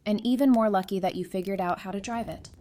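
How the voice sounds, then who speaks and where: A woman's voice: medium pitch, fast, mellow, lightly acted. A woman playing an affectionate, teasing young wife in an audio drama, talking in a casual, conversational way. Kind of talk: fan audio drama acting. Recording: clear background noise and ambience.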